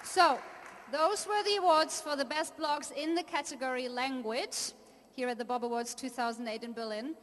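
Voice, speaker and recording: A woman's voice: high-pitched, moderate pace. A woman hosting an awards show on stage, announcing guests. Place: large hall. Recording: hall reverb with audience noise.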